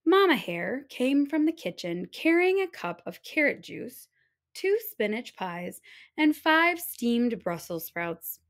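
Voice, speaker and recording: A woman reading a children's storybook aloud, narrating in an expressive, deliberate manner. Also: on the high side. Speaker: storybook reader.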